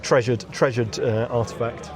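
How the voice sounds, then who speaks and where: A man with an average-pitched voice gives a relaxed, informal interview as a game designer. He is recorded outdoors in a courtyard, with plenty of people chattering behind him. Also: moderate pace. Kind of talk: casual chat. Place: outdoors in a courtyard at a gaming event. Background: crowd chatter.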